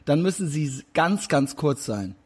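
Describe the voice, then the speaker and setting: A man's voice: fairly high pitch, big pitch swings, normal speed, darkish tone, breathy edge. A man in his forties interviewing a guest in a casual chat, rambling with many fillers and restarts. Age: 40s. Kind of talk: casual interview over video call.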